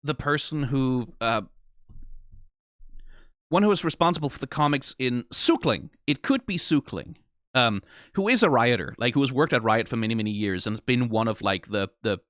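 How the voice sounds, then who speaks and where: A man with a medium-pitched voice hosts an unscripted reaction video. He sounds tired and talks informally, swearing for emphasis and often halting or trailing off mid-thought. The sound is dry, with very little room in it. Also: animated, fairly bright tone, no echo. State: tired after being awake for twenty hours. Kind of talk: off-the-cuff reaction commentary.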